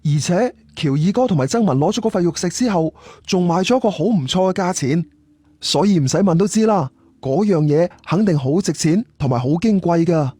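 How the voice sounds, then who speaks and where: A man's voice: high-pitched, animated, fairly quick, mellow, husky, no echo. A man with a Cantonese accent narrating a scripted first-person story in a conversational, colloquial way. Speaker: audiobook storyteller.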